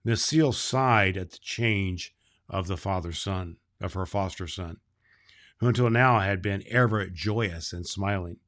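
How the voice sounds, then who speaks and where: A man playing Santa Claus, reading a children's book aloud and talking to kids in a slow, gentle storytelling manner. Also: deep voice.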